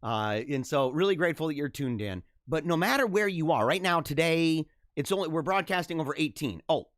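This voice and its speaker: Lively animated man hosting a live stream. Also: on the high side, brisk, no room sound, clean studio sound. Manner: animated, chuckling often, repeats himself. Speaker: host of a live-streamed political and religious talk show. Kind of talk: casual live-stream chat reading viewer comments.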